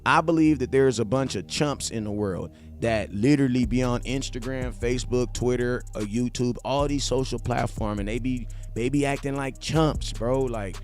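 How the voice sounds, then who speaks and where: A man's voice: mid-range voice, normal speed, few pauses, a little mellow, soft background music. A man, chatting loosely on a live stream, talking straight to his viewers and repeating points for emphasis. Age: 40s. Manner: loose slangy talk, addresses viewers directly, repeats himself for emphasis. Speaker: live streamer, sports fan host. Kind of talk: casual live-stream chat reading viewer comments.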